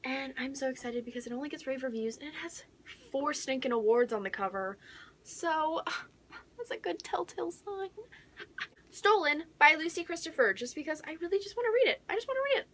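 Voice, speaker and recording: A young woman chatting casually as a book vlogger, excitable and gushing, with dramatic emphasis and whispery asides. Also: high voice, brisk.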